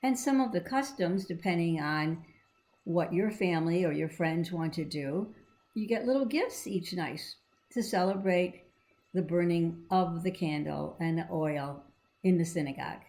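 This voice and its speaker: A woman's voice: fairly low pitch, measured pace, a little mellow. A woman reading and explaining a storybook to children in a simple, encouraging, teacherly manner. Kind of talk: read-aloud with explanatory asides to children.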